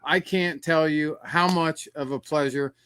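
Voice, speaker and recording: A man's voice: fairly high pitch, steady tone, measured pace, fairly bright tone, smooth, no room sound. A man hosting a podcast, talking in an upbeat, appreciative way in casual chat. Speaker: podcast host.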